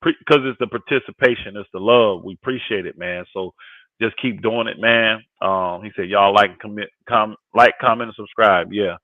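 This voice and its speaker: A man's voice: on the low side, normal speed, no echo. Phone-like voice of a fifty-year-old man. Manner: conversational, emphatic, repeats phrases for emphasis. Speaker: guest co-host and sports commentator on a live panel. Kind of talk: casual fan sports talk on a livestream. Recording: thin phone-like audio.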